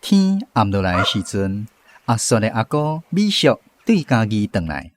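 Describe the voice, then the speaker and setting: A man's voice: lowish voice, very animated, measured pace, slight background noise. A man with a Taiwanese Hokkien accent reading scripted narration for a storybook radio drama. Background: guitar music.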